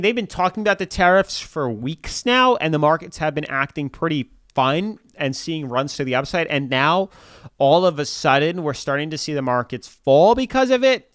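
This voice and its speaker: A man's voice: a little high, a bit bright, no echo, studio quality. A man hosting a crypto channel, talking through market charts in casual commentary, a little congested from a cold, chatty and repetitive. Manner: chatty, repeats filler "like", pushes viewers to subscribe.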